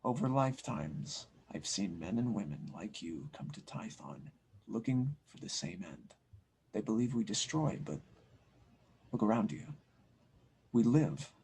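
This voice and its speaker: A man playing a wise, serene blacksmith mystic in an audio drama, speaking softly in slow, cryptic phrases. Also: lowish voice, sing-song, gravelly, slight background noise, pitched below the performer's own voice, full character voice. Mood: solemn. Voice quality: soft, hushed. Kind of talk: fan audio drama table read.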